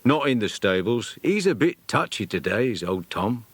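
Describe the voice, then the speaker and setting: A man's voice: lowish voice, big pitch swings, moderate pace, husky, no room sound. Man as an offhand young stable hand. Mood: casual. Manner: offhand, colloquial. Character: casual, easy-going young stable hand.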